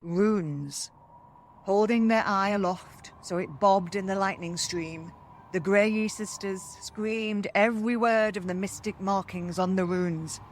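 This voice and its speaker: A woman narrating a story aloud as host, in a dramatic, expressive and theatrical scripted style. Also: medium pitch, slow pace, a little hiss or hum.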